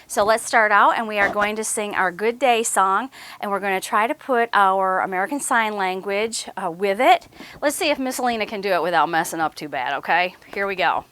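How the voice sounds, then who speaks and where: A woman's voice: mid-range voice, a little fast, a bit bright, no echo. A woman hosting a children's story time, reading aloud and chatting encouragingly with simple questions for young listeners. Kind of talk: children's read-aloud and chat.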